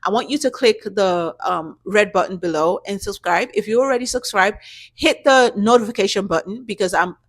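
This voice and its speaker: A woman's voice: medium pitch, moderate pace. Encouraging woman coaching her viewers. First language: not English. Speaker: YouTube channel host giving business grant tips. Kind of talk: casual tutorial talk to camera.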